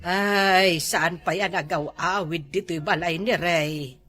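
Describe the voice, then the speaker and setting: A woman's voice: lowish voice, slow pace, a bit bright, soft background music. A woman with an Ilocano accent playing a fretful mother, scolding yet indulgent toward grown sons, in a comedy drama.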